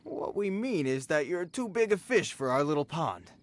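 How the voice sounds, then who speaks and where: A man's voice: on the high side, sing-song, normal speed, slight background noise. An actor playing a brash male bandit leader in a video game, speaking cocky and then nervously polite. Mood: servile.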